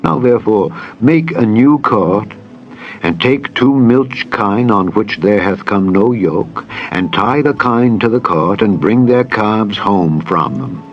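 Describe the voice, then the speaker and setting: A man narrating scripture in a dramatized style, with solemn, measured, deliberate phrasing. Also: fairly low pitch, animated. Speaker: Bible narrator. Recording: dull lo-fi audio with music. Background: music bed.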